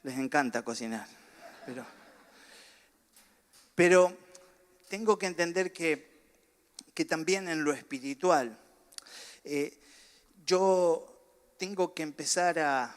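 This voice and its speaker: A middle-aged male pastor with a Rioplatense accent and a somewhat high voice. He talks to his congregation in a loose, joking way, then climbs into fervent preaching. A slight cough catches in his throat now and then. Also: slow pace, pauses often. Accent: Rioplatense Spanish. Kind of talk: sermon.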